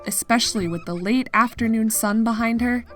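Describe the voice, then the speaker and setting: A woman's voice: on the high side, brisk, faint music behind. A woman narrating scripted fiction as a storyteller.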